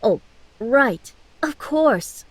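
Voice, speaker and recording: A woman's voice: high-pitched, expressive, drawn-out words, exaggerated voice. Woman acting a leisurely, inquisitive older halfling merchant. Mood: curious, apologetic. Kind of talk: fantasy audio drama acting.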